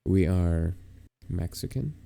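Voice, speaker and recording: A man's voice: sits low, animated, slow pace, creaky, dark tone. A young American man co-hosting a podcast in casual chat, rambling and hesitant, often putting himself down. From United States. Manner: hesitant, rambling, self-deprecating. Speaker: podcast co-host.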